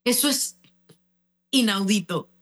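A woman's voice: medium pitch, animated, slow pace. Fervent woman preacher. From Panama. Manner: fervent, exhorting, sometimes shouting. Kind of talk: broadcast sermon.

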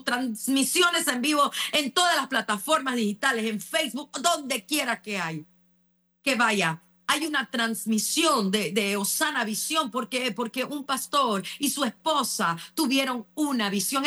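Fervent woman preacher. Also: high-pitched. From Panama. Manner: fervent, exhorting, sometimes shouting. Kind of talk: broadcast sermon.

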